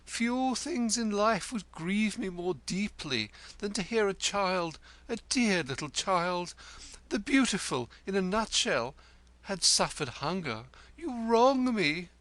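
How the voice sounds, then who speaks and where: A man's voice: high-pitched, expressive, slow, a bit bright, no room sound, voice pushed up, exaggerated voice. A man voicing a vain, flowery poet lodger who talks theatrically and pities himself. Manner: flowery, theatrical, self-pitying. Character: florid vain self-pitying male poet lodger.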